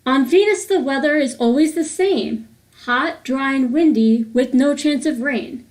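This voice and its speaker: A woman reading a rhyming picture book aloud for children in a deliberate, sing-song way. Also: high voice, a little mellow. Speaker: host of a children's read-aloud series.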